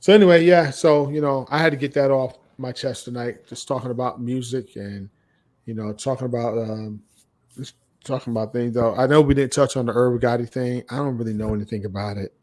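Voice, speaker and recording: An American man co-hosts a casual podcast in a dark, relaxed voice at an average male pitch. He gives his opinions bluntly and repeats phrases to drive them home. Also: brisk. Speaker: podcast co-host.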